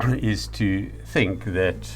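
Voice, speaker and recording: A middle-aged or older man with a South African accent, giving a reflective lecture in a deliberate, emphatic manner. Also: sits low, a little hiss or hum.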